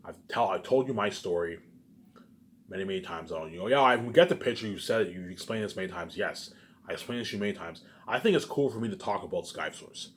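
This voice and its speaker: Male online video maker, lowish voice, very quick delivery, looping unscripted rant that surges loud then sinks to a mutter. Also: expressive, a little hiss or hum. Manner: repetitive, circling back to same phrases; emphatic, swinging between loud bursts and low muttered asides. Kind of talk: rambling unscripted monologue to viewers.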